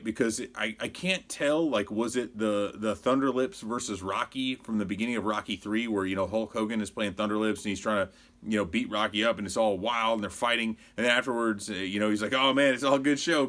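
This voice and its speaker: A man in his forties with an American accent and a slightly low voice talks casually, like a sports blogger hosting his own show. He rambles conversationally through his opinions and sounds exasperated. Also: a bit bright. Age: 40s.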